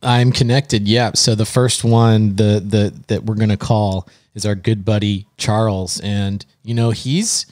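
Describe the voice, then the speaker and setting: A man's voice: lowish voice, flat delivery, a little slow, hardly any pauses, darkish tone, no echo. A man co-hosting a podcast in a casual, conversational style. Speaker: podcast co-host.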